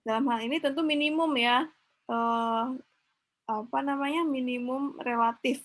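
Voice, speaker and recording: A woman explains a math proof in a relaxed lecture, often pausing with fillers and correcting herself mid-sentence. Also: high voice. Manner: thinks aloud, frequent fillers and self-corrections. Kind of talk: informal lecture with screen notes.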